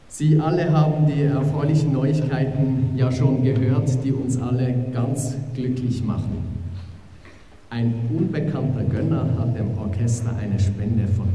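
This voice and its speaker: An actor playing a formal dignitary, giving a public speech in a radio play. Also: male voice, medium pitch, warm tone, distant mic, close to an everyday voice. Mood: formal, ceremonious. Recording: echoey concert hall from afar, faint noise.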